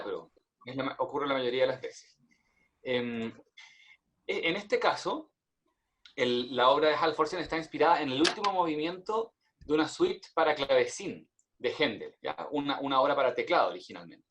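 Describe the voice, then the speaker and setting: A man's voice: mid-range voice, lively intonation, normal speed, a bit bright. A man giving explanatory music commentary in an orderly, didactic manner.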